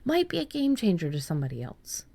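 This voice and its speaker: A woman's voice: on the low side, wildly swooping pitch, fast, gravelly, warm tone. A woman hosting a writing podcast, talking casually with frequent restarts and repeated words. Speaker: podcast host.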